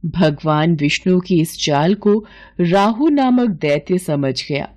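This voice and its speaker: Slow, expressive woman storyteller. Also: fairly low pitch. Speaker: storyteller, narrator. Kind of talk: scripted mythological bedtime story narration.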